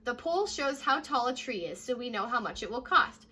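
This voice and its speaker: A young American woman reading a children's story aloud like a teacher, addressing young listeners directly in a lively way. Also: fairly high pitch, brisk, husky. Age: young adult. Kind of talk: children's read-aloud with short asides. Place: living room at home, indoors.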